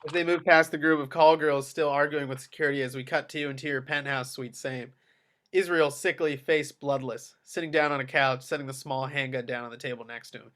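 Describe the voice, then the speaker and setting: A man's voice: medium pitch, fairly even intonation, a little fast, a bit bright. A man narrating a screenplay's action descriptions at a table read. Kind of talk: screenplay stage-direction reading.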